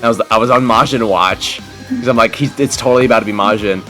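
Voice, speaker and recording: A young man hosts casual game commentary with friends. His voice sits at a middling pitch and he talks in rambling, enthusiastic fan fashion. Music plays softly behind him. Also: fast. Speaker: gaming video host. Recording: background music under the voice.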